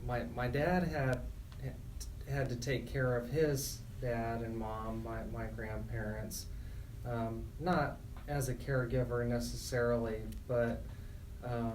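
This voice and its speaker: A man hosting a radio show, talking in a conversational storytelling way with a measured, halting delivery. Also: medium pitch, level tone, darkish tone. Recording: distant mic, faint noise.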